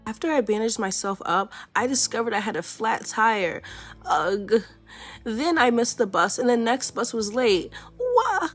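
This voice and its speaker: A woman reading a children's picture book aloud in a playful, expressive storytelling way. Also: a little high, measured pace, faint music behind.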